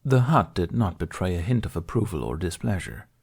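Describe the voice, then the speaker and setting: A male narrator reads a story from a script. His voice sits low, and the delivery is that of an audiobook. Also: very animated, brisk, creaky, a little mellow, breathy edge.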